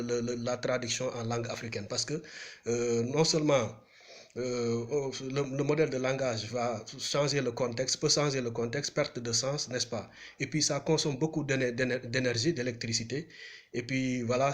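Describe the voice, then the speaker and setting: A man whose first language is Wolof, hosting his own channel and speaking off the cuff to camera, earnest and halting with frequent restarts and fillers. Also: mid-range voice. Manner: hesitant, many restarts and fillers; earnest, grows more urgent when appealing to listeners. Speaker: channel host. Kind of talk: unscripted talk to camera.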